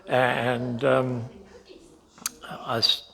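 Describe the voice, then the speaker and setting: A man in his eighties answering interview questions slowly, with pauses and fillers. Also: medium pitch, flat delivery, bright, forceful tone. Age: 80s. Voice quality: gravelly. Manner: slow, halting, many fillers. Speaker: interviewee, tai chi student.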